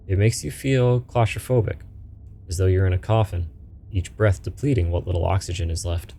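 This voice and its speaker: A man's voice: low voice, moderate pace, creaky, dark tone, slight background noise. A man reading a story game aloud as narration, with game music behind him. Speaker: video game player reading a story game aloud, voicing the characters.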